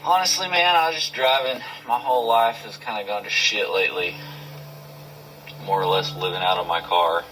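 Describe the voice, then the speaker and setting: A man's voice: lowish voice, normal speed, sharp tone. A man talking casually with police at a roadside in a plain, downbeat, colloquial way, caught on a body camera. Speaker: driver stopped by police. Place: roadside outdoors. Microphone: police body camera, at some distance. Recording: noisy police body cam audio.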